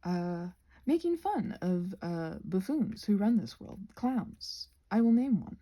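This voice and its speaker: A woman chatting in a rambling, halting ASMR style, soft-spoken and often slipping into whispers. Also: medium pitch, big pitch swings. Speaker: ASMR creator rambling while painting a craft project. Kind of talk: ASMR rambling casual chat.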